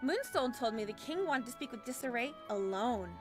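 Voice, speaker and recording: A woman's voice: on the high side, animated, normal speed, soft background music, natural voice. A woman voicing a mischievous, cheeky young cartoon pony with a teasing, playful manner.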